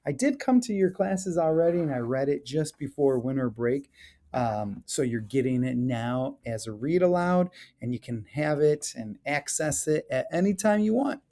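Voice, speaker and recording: A man reading a picture book aloud as a teacher, chatting to children and explaining the pictures with expressive, drawn-out phrasing. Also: medium pitch. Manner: questions and chats to children, explaining illustrations.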